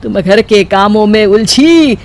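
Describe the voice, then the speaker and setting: A man playing a high-pitched, pompous old mother-in-law. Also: lively intonation, slow, full character voice. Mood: boastful, defiant. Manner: declaims in rhymes, pompous. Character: boastful elderly mother-in-law obsessed with rhyming verse. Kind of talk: cartoon comedy story acting.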